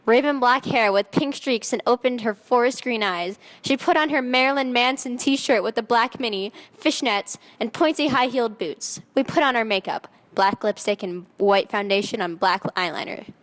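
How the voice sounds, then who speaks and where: A woman's voice: a little high, normal speed, few pauses, lightly acted. A woman voicing a haughty, melodramatic seventeen-year-old goth vampire girl in a dramatic fan fiction reading, with emphatic teenage delivery. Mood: self-absorbed narrating. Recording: echoey hall with long reverb.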